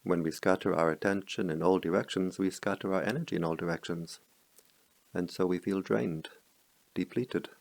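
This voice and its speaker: Deep, gravelly-voiced man guiding meditation. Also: dry recording. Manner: slow, calm, softly spoken with long pauses; chants Pali verses in a low murmur. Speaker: meditation teacher. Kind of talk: guided meditation.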